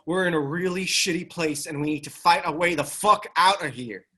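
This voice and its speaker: A man's voice: a little high, normal speed, pushed and bright. A man acting in a script read as a combative, foul-mouthed armed activist, speaking in a blunt, wry way. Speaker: actor in a screenplay table read. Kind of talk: acted script reading.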